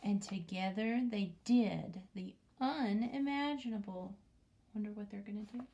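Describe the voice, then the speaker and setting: A woman teacher reading a picture book aloud to young children, commenting and asking questions, often dropping into a hushed near-whisper. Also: mid-range voice, measured pace. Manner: asks the listening children questions.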